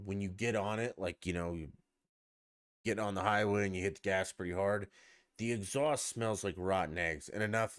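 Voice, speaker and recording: Low-voiced man ranting on a podcast. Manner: ranting, profane, rambling. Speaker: podcast host. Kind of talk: casual solo podcast chat.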